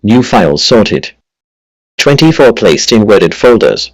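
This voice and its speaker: A man's voice: on the low side, big pitch swings, slow, husky, no room sound, rough recording. A synthetic male voice reading out automated status announcements as a computer assistant.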